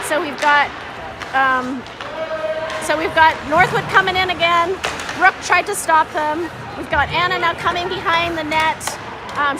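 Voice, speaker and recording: High-voiced amateur female hockey commentator, noisy arena. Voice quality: slightly scratchy voice. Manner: halting, unsure, self-deprecating, conversational. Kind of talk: informal live hockey commentary. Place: indoor ice hockey arena. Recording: music and crowd noise behind, arena. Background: music and crowd.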